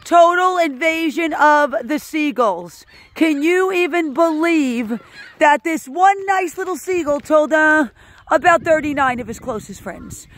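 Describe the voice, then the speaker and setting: Amazed, slow-paced woman vlogging outdoors. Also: high-pitched, lively intonation, a little hiss or hum. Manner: exclamatory, amazed, repeats phrases. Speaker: animal sanctuary host filming a vlog. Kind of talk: casual excited outdoor narration to viewers. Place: outdoors at a rural animal sanctuary.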